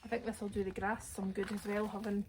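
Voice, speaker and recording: A woman, her voice raspy today, chatting casually as she works in her outdoor garden with birdsong around her. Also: medium pitch, level tone, fast, heavy background noise. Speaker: gardening vlogger. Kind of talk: casual chat while gardening.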